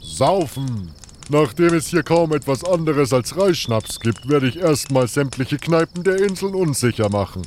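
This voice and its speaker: A man's voice: a little high, lively intonation, unhurried, darkish tone, slight background noise. A man playing a boisterous, boastful, hard-drinking mercenary with a brash manner in a fantasy radio play. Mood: rowdy. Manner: brash, dismissive.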